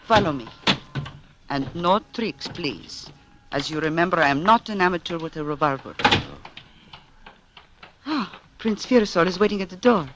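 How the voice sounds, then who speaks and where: Through clearly noisy vintage radio sound comes an actress as an imperious young woman kidnapper. She gives orders in formal, foreign-sounding phrases. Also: lowish voice, animated, normal speed, long pauses, close to an everyday voice. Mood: commanding. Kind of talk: old-time radio drama acting. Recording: noisy old radio recording.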